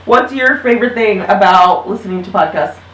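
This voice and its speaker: A woman's voice: medium pitch, fairly quick, a bit bright. A woman in her thirties hosting a casual family chat show in an upbeat, chatty way. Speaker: host. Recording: roomy sound from a distant mic.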